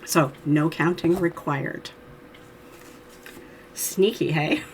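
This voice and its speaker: Hoarse lady with a cold doing a chatty knitting how-to, lowish voice, bit of hiss behind. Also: extremely slow, a little mellow, low-quality recording. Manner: friendly, chatty, with little laughs. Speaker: knitting teacher. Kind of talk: casual how-to tutorial.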